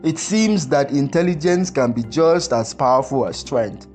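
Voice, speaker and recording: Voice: mid-range voice, animated, moderate pace, a little mellow, faint music behind, close to an everyday voice. A storyteller voicing a stately, fair-minded male lion king in a folktale. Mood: approving.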